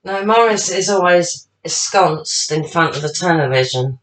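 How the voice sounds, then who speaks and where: A woman, slightly husky and tired, chatting casually to herself while making a salad at home. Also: on the low side, lively intonation, normal speed, slight background noise, rough recording. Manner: chatty, rambling, talks to herself while working. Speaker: home cook. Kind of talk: casual cooking demonstration.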